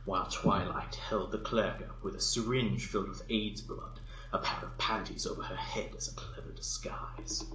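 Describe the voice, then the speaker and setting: A man's voice: lowish voice, steady tone, moderate pace, sharp tone, reverberant, slight background noise, low-quality recording. A man reading fan fiction aloud as a storyteller, in dramatic scripted narration. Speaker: story reader.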